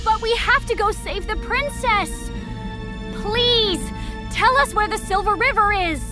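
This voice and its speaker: A woman's voice: very high voice, measured pace, music in the background. A woman voicing a brave, eager young little bus in a children's cartoon, with a childlike, exclamatory delivery. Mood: earnest.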